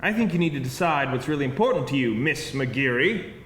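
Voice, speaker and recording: A man's voice: medium pitch, expressive, fairly quick, a bit bright, natural voice. An actor playing an intimidating, impatient, sarcastic male boss in a live screenplay table read. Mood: stern, impatient. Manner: curt, sarcastic. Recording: roomy live stage recording, faint noise.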